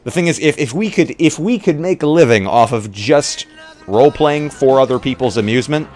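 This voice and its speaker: A man's voice: medium pitch, lively intonation, a little fast, darkish tone. A man chatting casually on a livestream, rambling and hesitant, often repeating his phrases. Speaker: streamer and roleplay player. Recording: music playing behind. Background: music.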